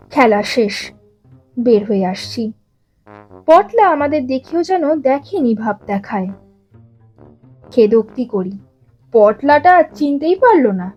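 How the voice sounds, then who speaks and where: Casting: female storyteller, high voice, leisurely expressive narration over soft music. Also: low-quality recording.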